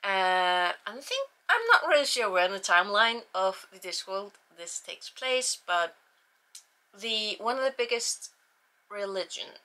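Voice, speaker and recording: Young Icelandic-accented woman, bright-toned book vlogger, chatty and rambling. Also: mid-range voice, sing-song, unhurried. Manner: chatty, self-deprecating, rambling with restarts. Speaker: book channel host. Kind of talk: casual book review vlog.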